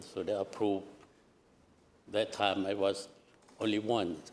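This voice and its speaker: A man's voice: lowish voice, slight background noise. An older man from southern Laos with a Lao accent, speaking hesitantly in farewell remarks at a public meeting. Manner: halting, hesitant, restarts phrases. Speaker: retiring commissioner. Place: council meeting chamber.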